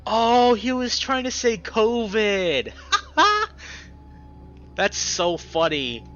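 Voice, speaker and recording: A young man narrates a visual novel with a lively, swinging pitch and breaks into excitable commentary. Game music is clearly audible behind him, and the sound is slightly dull. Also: very slow. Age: young adult. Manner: excitable, emphatic reactions. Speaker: gaming video host reading a visual novel aloud.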